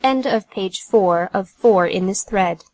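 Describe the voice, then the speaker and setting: A woman's voice: mid-range voice, slow, a little mellow, dry recording. A woman narrating an audiobook from a script in a neutral, announcing manner.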